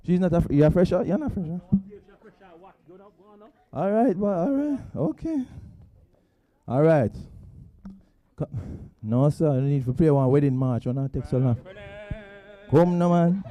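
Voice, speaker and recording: Host: energetic man, very lively pitch, warm darker tone, dry close sound, teases the crowd. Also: unhurried, long pauses. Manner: playful, teasing, with local slang. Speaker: event host. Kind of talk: lively crowd hosting on stage. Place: stage at a student orientation event.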